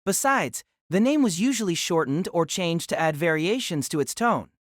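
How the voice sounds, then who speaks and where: A woman reads a character's inner thoughts for an audio drama in a thoughtful, wondering way. Her voice is a little low and recorded dry at the mic. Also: lively intonation, moderate pace, no room sound. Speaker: narrator.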